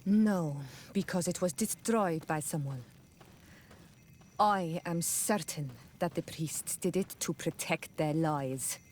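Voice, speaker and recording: A woman's voice: fairly low pitch, slow pace, a little mellow, slight background noise. A woman playing a resolute native daughter of a queen in a video game, plain, earnest and firm. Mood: firm, distrustful. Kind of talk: video game cutscene acting.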